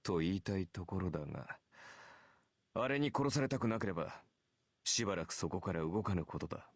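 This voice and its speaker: Low-voiced cynical warrior. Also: male voice, lively intonation, no room sound, clean studio sound. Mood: sardonic. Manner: cool, sardonic, deliberate phrasing. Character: cynical, confident male warrior archer in red. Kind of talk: Japanese visual novel voice acting. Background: game music.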